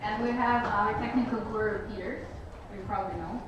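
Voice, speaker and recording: A woman from Germany chairs an assembly with an informal, upbeat manner. Her medium-pitched voice rings heavily around a large room and is picked up from far off, with clear noise around it. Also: steady tone, a little fast. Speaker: main chair of a student assembly. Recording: very echoey hall, distant, clear noise.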